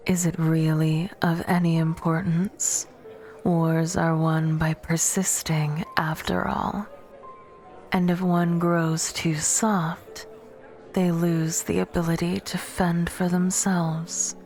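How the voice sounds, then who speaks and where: A woman playing a proud, bitter vampire warrior in an ASMR audio roleplay, speaking in a breathy, often whispered voice with haughty, cutting formality. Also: lowish voice, level tone, takes it slowly, a little mellow, soft background music. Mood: stern, stubborn.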